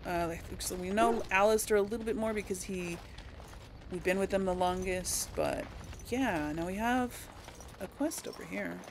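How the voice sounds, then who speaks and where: A woman's voice: medium pitch, normal speed, noisy background. A woman hosting a casual let's play, talking to viewers in a chatty, informal way. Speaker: gaming video host. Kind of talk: casual let's play commentary with role-played dialogue. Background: video game ambience.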